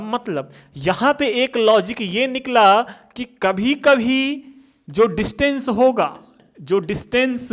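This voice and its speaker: A man's voice: very high-pitched, fairly bright tone. A male teacher giving a physics lesson for exam students, asking questions and answering them himself.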